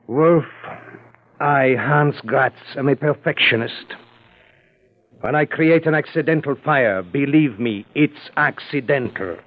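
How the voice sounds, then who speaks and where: An actor playing a smug, self-satisfied arsonist in an old radio crime drama, speaking in a smooth, coaxing way. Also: male voice, medium pitch, slow, slight background noise, lightly acted. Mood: boastful. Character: scheming middle-aged male arsonist, smug perfectionist. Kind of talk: 1940s radio acting. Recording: tinny old radio broadcast.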